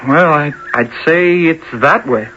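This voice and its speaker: An actor playing a puzzled, skeptical young traveler, practical and wry, in a radio-drama style. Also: male voice, on the high side, a little slow. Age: young adult. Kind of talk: 1970s radio drama acting. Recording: muffled old radio recording, loud noise.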